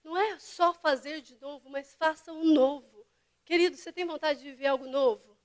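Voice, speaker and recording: A woman pastor delivering a fervent sermon to her church, dropping to hushed whispers and rising to impassioned cries. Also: sits high, steady tone, moderate pace. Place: church service.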